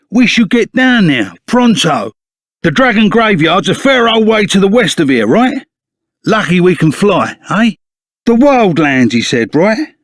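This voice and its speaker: An actor playing a rough, loyal, excitable henchman in a video game, with a gravelly voice, a Cockney accent and blunt, chummy, slangy talk. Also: high-pitched, dry recording, clean studio sound. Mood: blunt, curious. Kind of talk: video game character voice acting.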